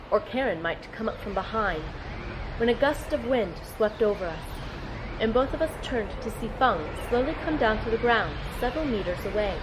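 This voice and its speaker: Woman acting an animated, high-voiced earnest girl. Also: background noise, close to an everyday voice. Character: earnest young girl narrating her team's battle. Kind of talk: first-person audio drama narration and dialogue.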